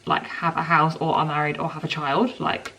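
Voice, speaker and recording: Gravelly-voiced young woman rambling. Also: deep voice, level tone, normal speed, sharp tone, slight background noise. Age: 20s. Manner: rambling and chatty, lots of "like" fillers. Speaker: vlogger. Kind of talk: casual chat to camera. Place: home kitchen while cooking dinner. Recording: camera mic from a distance, sizzling pan. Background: frying food sizzling.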